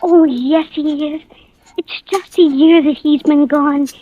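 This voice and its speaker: High-voiced, pleading little girl. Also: fairly even intonation, slow, dark tone. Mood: wistful, insistent. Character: anxious, pleading little girl grieving her dog. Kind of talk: 1940s radio acting. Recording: muffled old radio recording.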